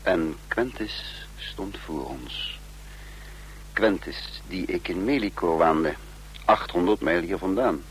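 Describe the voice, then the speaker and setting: An actor playing a shrewd, commanding operative in a radio drama, sardonic and controlled, with formal literary wording. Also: male voice, low-pitched, expressive, takes it slowly. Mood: grave, measured. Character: shrewd commanding man, a cool seasoned operative who narrates. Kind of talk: radio drama acting and narration. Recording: noisy, humming old radio drama recording.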